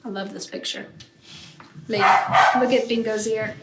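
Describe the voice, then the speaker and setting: A woman reading a picture book aloud to children like a teacher, with expressive, emphatic narration that draws out key words. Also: mid-range voice, normal speed. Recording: roomy echo, faint background noise.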